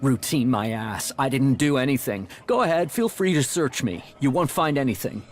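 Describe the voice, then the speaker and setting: A man's voice: medium pitch, animated, normal speed, lightly acted. A man playing a surly, defiant troublemaker in a video game, talking in a belligerent, sneering way. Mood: defiant, irritated. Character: surly, defiant male city troublemaker hounded by authority. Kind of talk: video game dialogue acting.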